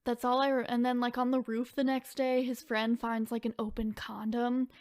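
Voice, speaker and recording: A woman's voice: a little high, level tone, normal speed, a little mellow, no room sound. A young woman co-hosting a podcast in casual, joking banter full of informal filler words. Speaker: podcast co-host. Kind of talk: casual comedic banter about creepy folklore.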